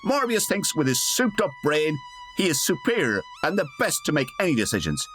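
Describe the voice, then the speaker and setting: A man's voice: a little high, big pitch swings, brisk, fairly bright tone, soft background music. A man playing a wry, colloquial narrator delivering scripted comic narration for a radio parody.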